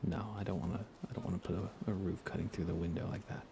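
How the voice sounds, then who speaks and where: Soft young guy doing asmr game stream, really low croaky voice, murmuring slow and casual. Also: fairly even intonation, noisy background. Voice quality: soft, hushed, often slipping into breathy whisper. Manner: unhurried, casual murmuring to himself and viewers. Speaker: livestream host. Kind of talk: ASMR gaming livestream chat.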